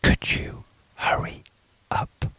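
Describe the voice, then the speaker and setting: A man voicing a nervous village priest in a hushed, breathy whisper. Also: slow pace, no room sound. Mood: anxious hushed.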